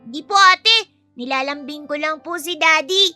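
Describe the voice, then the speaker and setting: Woman voicing a very high, chirpy little child. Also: measured pace, bright, forceful tone, no room sound, faint music behind, exaggerated voice. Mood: excited, sweet. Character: cheeky, sweet, outspoken young child of a rich father. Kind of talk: acted audio story dialogue.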